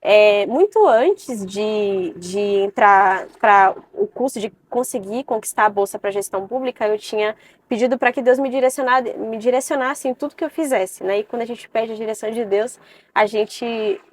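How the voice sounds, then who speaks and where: Young woman from salvador, brazil, on a podcast, earnest, lots of hesitating, kinda high voice. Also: moderate pace. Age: 20s. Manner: earnest, with many hesitations and "né" tags. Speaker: guest. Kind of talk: casual interview chat.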